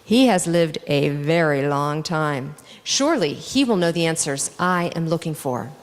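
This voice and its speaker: A woman's voice: low-pitched, expressive, measured pace. A woman voicing an earnest, questioning, kind young boy in a children's story, in an echoey hall. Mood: hopeful. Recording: echoey hall with long reverb.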